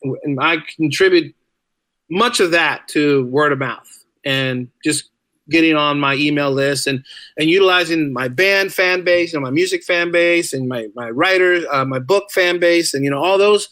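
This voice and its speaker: A man's voice: fairly high pitch, moderate pace, sharp tone, dry recording. A man chatting casually as a podcast guest, talkative and informal, often repeating phrases for emphasis. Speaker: podcast guest, writer and musician. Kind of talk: casual conversational interview.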